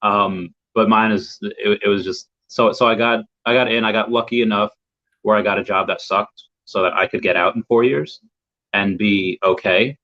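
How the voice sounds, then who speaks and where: Low-voiced rambling young man, lo-fi sound. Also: fairly even intonation, moderate pace, bright, forceful tone. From United States. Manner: rambling, repeats words while searching for thoughts. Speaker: guest. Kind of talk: casual interview chat. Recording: dull lo-fi audio.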